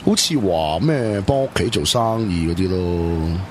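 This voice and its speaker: A man's voice: low-pitched, animated, a little slow, background noise. A man with a Hong Kong Cantonese accent, playing a blunt, mocking young man in a radio drama. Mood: scornful. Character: sarcastic young man teasing friends.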